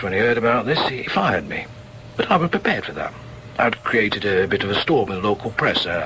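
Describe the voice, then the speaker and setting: An actor playing a proud, argumentative middle-aged socialist shop steward in a radio drama, with a Northern English accent and an emphatic, halting delivery. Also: male voice, fairly low pitch, bright, forceful tone, slight background noise, poor audio. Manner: emphatic, halting repetitions, muttered inner asides. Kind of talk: naturalistic radio drama with inner asides.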